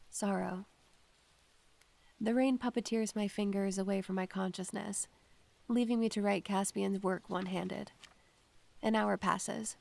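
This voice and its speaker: Wistful young spirit-medium student for audio drama, played by a woman: very gravelly fry, brooding, measured, introspective. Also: mid-range voice, darkish tone. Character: melancholy, introspective young female student who hears spirits. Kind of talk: audio drama narration and acting.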